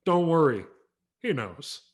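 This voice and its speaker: A man's voice: a little high, big pitch swings, slow pace, voice pushed up. A man voicing a blunt, teasing middle-aged father.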